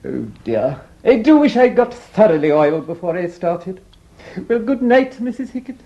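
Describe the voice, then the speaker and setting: An actor playing a fussy, effusive English parson in a stage farce, gushing, hesitant and over-polite. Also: male voice, high voice, very animated, moderate pace, dull audio. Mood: fussy, dithering. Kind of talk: theatrical farce acting.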